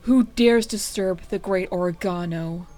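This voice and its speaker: A woman voicing a pompous, self-important old male hermit with a gravelly voice in a story reading. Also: medium pitch, a little slow, a little mellow, faint music behind, natural voice. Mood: suspicious, haughty. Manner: pompous, grandiose.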